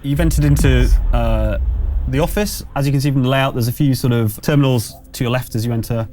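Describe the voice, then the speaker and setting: A man running a tabletop game as game master, improvising the narration in a halting, conversational way. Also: mid-range voice, background noise. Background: background music.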